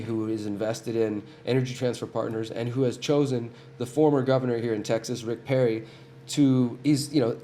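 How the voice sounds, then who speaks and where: A man's voice: mid-range voice, warm tone, slight background noise. A man with a cold hosting a weekly live stream, talking earnestly and directly to his viewers, often restarting his sentences. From United States. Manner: earnest, talks straight to viewers, frequent restarts. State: has a cold. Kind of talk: casual live-stream commentary.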